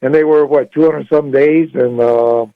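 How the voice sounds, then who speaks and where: A man's voice: mid-range voice, fairly even intonation, moderate pace, no echo. A man calling in to a radio show, chatting casually in a hesitant, rambling way and repeating himself. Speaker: phone-in caller. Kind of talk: casual chat. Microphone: phone call. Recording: dull lo-fi radio call.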